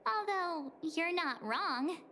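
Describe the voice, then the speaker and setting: A woman's voice: very high voice, expressive, slow, bright, forceful tone, slight background noise, heavily acted. A woman voicing a candid, casual young woman in a fantasy video game. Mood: musing.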